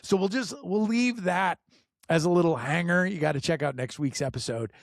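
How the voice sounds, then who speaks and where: A middle-aged man hosting a podcast in casual chat, enthusiastic, laughing often and filling pauses with lots of ums and uhs. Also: fairly high pitch, creaky, no echo, clean studio sound. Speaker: podcast host.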